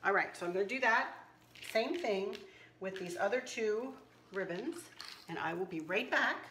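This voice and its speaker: A slightly hoarse woman hosting a casual craft tutorial, chatting and teasing herself as she works. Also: mid-range voice, animated, moderate pace, pushed and bright, reverberant, slight background noise. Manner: chatty, self-teasing, talks while working. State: a little hoarse. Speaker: craft channel host. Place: kitchen counter indoors.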